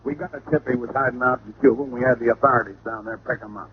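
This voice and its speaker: An American actor playing an exasperated, sarcastic police lieutenant in an old radio drama. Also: male voice, mid-range voice, fairly even intonation, very fast, a crowd in the background, close to an everyday voice. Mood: businesslike, dry. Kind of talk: 1940s-50s radio drama acting. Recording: dull, hissy vintage radio recording.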